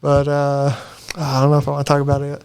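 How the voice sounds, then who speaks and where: A man with a noticeably high voice co-hosts a casual podcast. He banters with friends in a joking way, often laughing through his own sentences. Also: completely flat delivery, quick pace, a little mellow, clean tone. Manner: jokey, laughs often while talking. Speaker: podcast co-host.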